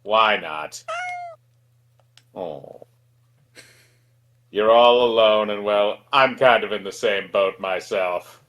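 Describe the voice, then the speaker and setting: Slow-talking, lower-voiced man playing a game. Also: fairly bright tone. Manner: sweary, wry. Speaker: co-host voicing the player character. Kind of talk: casual game banter and read-aloud dialogue.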